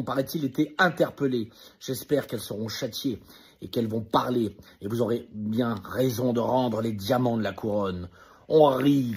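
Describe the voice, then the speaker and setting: Husky guy ranting all pompous and indignant, breathy edge. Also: medium pitch. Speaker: commentator in a played clip. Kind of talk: indignant video statement.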